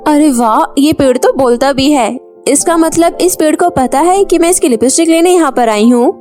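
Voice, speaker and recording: A woman voicing a greedy, excitable girl in a children's moral story, with an eager, dramatic manner. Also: high voice, normal speed, faint music behind, pitched above the performer's own voice, lightly acted. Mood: excited greedy. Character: greedy, excitable girl craving riches and beauty.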